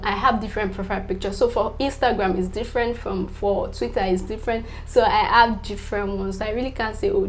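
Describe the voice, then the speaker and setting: Young woman, Nigerian accent, Yoruba first language, casual vlog chat, chatty, lots of fillers, mid-pitched. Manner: chatty, filler-heavy, repeats "definitely", laughs between answers. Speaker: vlogger.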